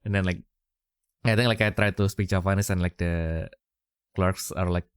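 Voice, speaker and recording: A man's voice: deep voice, steady tone, moderate pace, smooth, no room sound, studio quality. A man from Indonesia co-hosting a podcast, chatting casually with lots of fillers and laughter. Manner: rambling, many fillers, frequent laughter. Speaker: podcast co-host. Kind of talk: casual chat.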